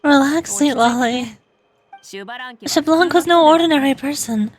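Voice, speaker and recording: Game heroine played by a woman: high-pitched, assured, easygoing, teasing, with faint music. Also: unhurried. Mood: reassuring.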